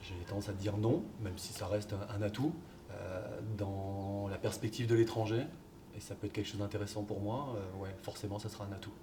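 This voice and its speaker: A man, a football coach, answering interview questions in a relaxed, hesitant way with many fillers. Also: fairly low pitch, a little fast. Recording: distant mic, background noise.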